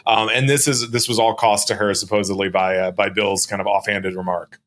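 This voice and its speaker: A man's voice: on the low side, flat delivery, fairly quick, fairly bright tone. An American man working as a reporter, explaining a story informally in a panel chat.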